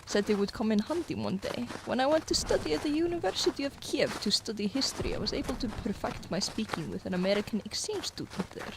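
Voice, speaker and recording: A woman's voice: a little high, lively intonation, fast talker, hardly any pauses, noisy background. A woman playing a resolute young Soviet sniper lieutenant in a radio drama, speaking formally and sternly. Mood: earnest.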